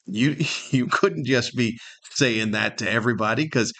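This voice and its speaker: A man's voice: mid-range voice, normal speed, a bit bright. A middle-aged or older man hosting a solo podcast, rambling casually with wry humor and plenty of rhetorical questions. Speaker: podcast host.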